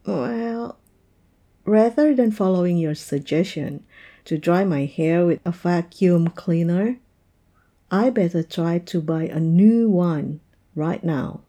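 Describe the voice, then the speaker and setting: A woman's voice: fairly low pitch, mellow. A woman acting an oversensitive young woman in an intimate audio roleplay, speaking to her partner in a hesitant, plaintive way. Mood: annoyed, pouting. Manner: hesitant, plaintive, halting delivery. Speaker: roleplay voice performer. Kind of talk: intimate audio roleplay, one-sided dialogue.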